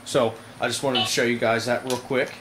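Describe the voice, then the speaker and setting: A man giving a casual hands-on product demonstration to viewers, informal and halting, with frequent pauses. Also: medium pitch, flat delivery. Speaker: product reviewer, video host. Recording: noisy amateur camera audio.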